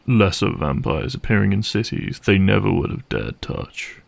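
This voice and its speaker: A man playing a gruff, cynical vampire hunter for hire in a dramatic audio roleplay, speaking in a wry, sardonic and menacing way. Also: fairly low pitch, expressive, normal speed, full character voice. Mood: cold, menacing. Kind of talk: dramatic audio roleplay monologue addressed to listener.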